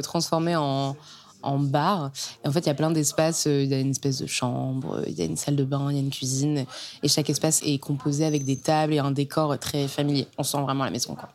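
A woman's voice: sits low, a little mellow. A young woman from Normandy, a journalist, talking casually as a podcast guest, informal and full of fillers, recorded in a bar set up like a house. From Normandy, France. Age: young adult. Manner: chatty, informal, many fillers. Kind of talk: casual interview talk. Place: converted house bar, in a bathtub.

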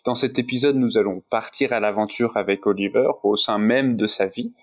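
Low-voiced male interview host. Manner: halting questions with fillers. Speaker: podcast host. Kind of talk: interview hosting.

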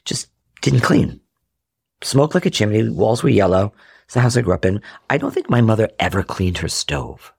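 Husky gravelly man telling family stories. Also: fairly low pitch, moderate pace, no echo, studio quality. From Rhode Island. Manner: animated, acts out quoted family dialogue. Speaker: podcast guest. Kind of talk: candid storytelling in conversation.

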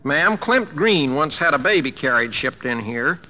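An actor playing an easygoing, teasing young cowboy with an American West accent, polite and folksy, in a 1950s radio drama. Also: male voice, a little high, expressive, unhurried, bright, forceful tone, full character voice. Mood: wry teasing. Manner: folksy, polite, hesitant with uhs. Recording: muffled vintage radio broadcast.

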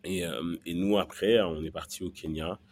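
A man's voice: sits low, steady tone, moderate pace, darkish tone. A man from Belgium telling his personal story as a podcast guest, hesitant and often repeating words as he searches for phrasing. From born in Central Africa, raised in Belgium from early childhood.

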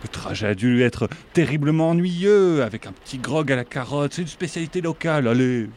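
A man's voice: fairly high pitch, expressive, fairly quick, a little mellow, natural voice. A man playing an affable, chatty country vet at a rural clinic in a comic audio drama. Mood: chatty, welcoming. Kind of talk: French comic audio fiction acting.

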